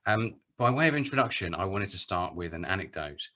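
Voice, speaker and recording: A middle-aged man with a British accent delivers a prepared talk. His voice sits very deep yet has a bright, firm edge. He is articulate and measured, like a writer used to telling stories to an audience. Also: expressive. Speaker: theatre director and writer giving a talk. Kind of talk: prepared speech at an online panel event.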